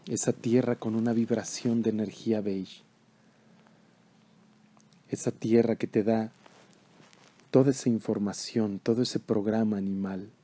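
Guy doing a guided meditation, lowish mellow voice, calm and measured, pretty flat melody.

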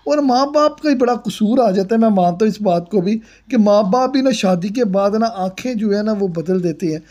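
A man's voice: high-pitched, expressive, moderate pace, darkish tone. A man hosting a family vlog, chatting and moralizing while someone cooks outdoors. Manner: chatty, moralizing, repeats the other speaker's words. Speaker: vlog host. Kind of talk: casual chat while filming cooking.

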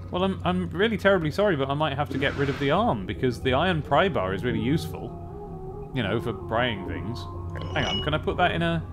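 A young British man giving casual, chatty gameplay commentary in a wry manner, thinking aloud close to a desk microphone. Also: mid-range voice. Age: young adult. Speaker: let's play host. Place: small room at a desk. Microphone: desk microphone with pop filter, close. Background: game music.

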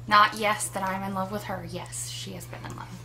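A woman's voice: medium pitch, flat delivery, normal speed, sharp tone, a little hiss or hum. A woman in her twenties chatting casually to a webcam in a small room, full of teasing jokes. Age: 20s. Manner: teasing, joking asides. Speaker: co-host. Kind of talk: casual chat. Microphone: webcam at desk distance.

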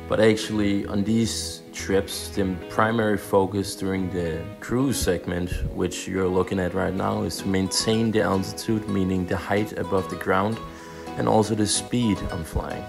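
A man's voice: sits low, steady tone, moderate pace, vocal fry, over music. A young man with a Danish accent who is a student pilot, narrating and explaining in voice-over.

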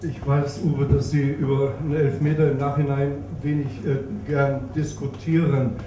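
A man's voice: mid-range voice, level tone, normal speed, warm tone. A man asking a question as a reporter at a press conference, far from the mic in an echoey, noisy room.

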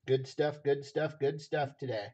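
A man hosting a livestream auction with folksy, repetitive casual sales patter, his voice rough, raw and a little hoarse. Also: mid-range voice, fairly even intonation, measured pace, darkish tone, slight background noise. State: slightly hoarse.

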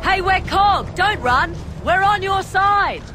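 A man's voice: very high-pitched, expressive, takes it slowly, heavily acted. An actor playing an alert, concerned male soldier in a video game, calling out urgently. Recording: noisy game effects behind.

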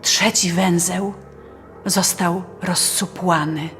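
A woman's voice: lowish voice, steady tone, slow, a bit bright. A woman narrating a scripted fairy tale as a storyteller. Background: faint music.

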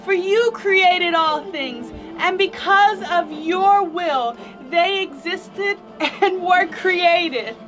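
A mom playing solemn praising elders. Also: female voice, very high-pitched, extremely slow, hardly any pauses, a bit bright, faint music behind, voice pushed up, full character voice. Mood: reverent. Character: twenty-four elders praising, solemn worshippers.

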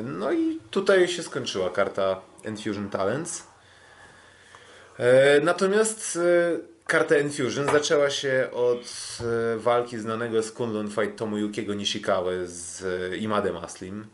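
Halting low-voiced man recapping fights. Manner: halting, often repeats and restarts words. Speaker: podcast host. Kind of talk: casual sports recap commentary.

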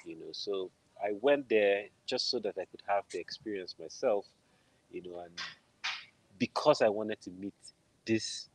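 Low-voiced Nigerian man telling his story. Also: unhurried, slight background noise, low-quality recording. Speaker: interview guest, film composer. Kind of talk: conversational personal storytelling. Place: indoor room at home, video call.

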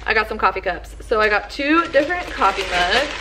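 A woman's voice: medium pitch, expressive, a little fast, very noisy. Young woman vlogger, casual haul chatter, talks to a camera in her living room. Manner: chatty, lots of "like" fillers. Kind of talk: casual shopping haul chat.

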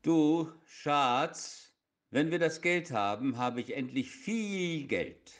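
A man's voice: a little high, lively intonation, slow. A man playing a pedantic, stubborn, scheming husband in an amateur audio drama. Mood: calm.

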